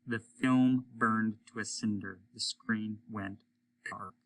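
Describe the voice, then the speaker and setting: A man reading a story aloud as dramatic narration, deliberate and expressive. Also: lowish voice, slight background noise, rough recording. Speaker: story reader, teacher.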